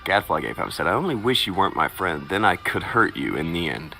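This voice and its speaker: A male stream host with a lively, swooping delivery and a bright, forceful tone. He teases his co-host, reads out viewer comments and drifts into crude, profane anecdotes in loose casual chat. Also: sits low, a little fast, creaky, soft background music. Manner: crude, teasing, profane storytelling.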